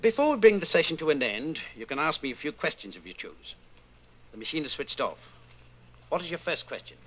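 An actor playing a coldly patient, menacing party inquisitor in old-time radio style, measured, condescending and commanding. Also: male voice, fairly high pitch, sing-song, a bit bright, a little hiss or hum, low-quality recording. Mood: calm authority.

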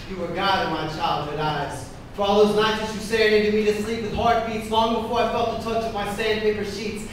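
A young man delivers spoken-word poetry in a high voice, with impassioned, rhythmic emphasis. He is recorded from a distance in a strongly reverberant room, as a slam poet on stage. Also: a bit bright. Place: indoor poetry slam venue. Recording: echoey room from afar, faint noise.

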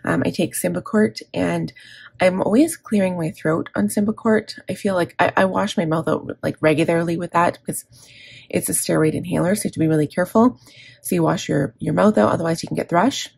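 A middle-aged woman with a scratchy, gravelly throat, chatting casually and candidly through her planner, rambling and correcting herself. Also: lowish voice, steady tone, brisk, darkish tone, no echo. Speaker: planner vlogger. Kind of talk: casual plan-with-me chat. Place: indoors at a desk.

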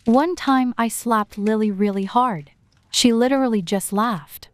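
A woman acts a bubbly teenage friend in an online storytime skit. Her voice sits fairly high. She chatters eagerly and is quick to apologize. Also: dry recording, lightly acted. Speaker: voice actor in an animated storytime drama. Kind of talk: scripted amateur roleplay dialogue.

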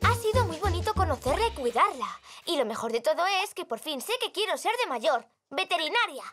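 A woman's voice: very high-pitched, sing-song, fairly quick. A woman voicing a cheerful, bossy young big sister in a children's cartoon, with a Castilian Spanish accent. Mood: upbeat. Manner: chirpy, encouraging, take-charge. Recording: loud children's music behind the voice.

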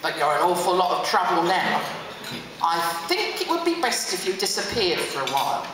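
A woman's voice: lowish voice, moderate pace. A woman voicing an eager, urgent young lad in a book reading. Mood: awed, worried. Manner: excitable, urgent, slangy. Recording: distant, echoey hall with clear noise.

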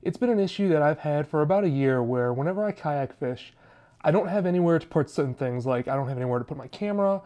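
A man's voice: on the high side, lively intonation, fast talker, mellow. A man, narrating a how-to video in a scripted, explanatory style.

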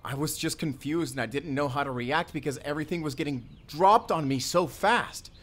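A man's voice: a little high, moderate pace, a bit bright. A man playing a hurt, pleading, lovelorn young man in an audio roleplay. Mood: upset, pleading.

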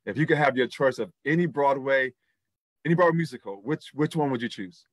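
A man's voice: medium pitch, expressive, a little fast, crowd noise. A middle-aged man hosting an interview over a video call, effusive and laughing, repeating his praise. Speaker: talk show host. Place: indoors in front of a backdrop.

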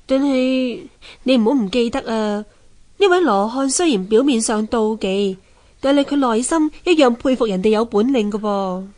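An actress playing a sculptor's wise wife in a Hong Kong Cantonese radio drama, gently advising in a coaxing, expressive way. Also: female voice, on the high side, normal speed, close to an everyday voice.

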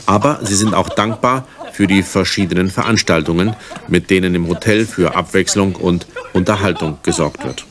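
A man reading scripted documentary narration in a measured, matter-of-fact manner. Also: deep voice.

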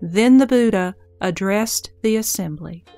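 Female narrator, medium pitch, formal measured storytelling for religious audio drama, light music bed. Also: dark tone.

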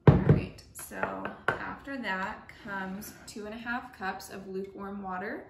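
A woman's voice: medium pitch, steady tone, a little slow, gravelly. A young woman hosting a casual home baking tutorial, talking through each step in a friendly, chatty way as she works in her kitchen. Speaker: home baker and channel host. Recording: echoey kitchen with faint noise.

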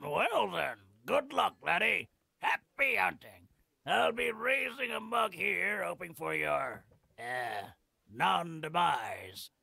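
An actor playing a cheeky, scruffy old veteran freeloader in a video game, with a raspy, drawling, theatrical delivery. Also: male voice, high-pitched, expressive, slow, pushed and bright, no room sound, heavily acted. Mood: amused. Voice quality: gravelly, raspy. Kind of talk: video game character acting.

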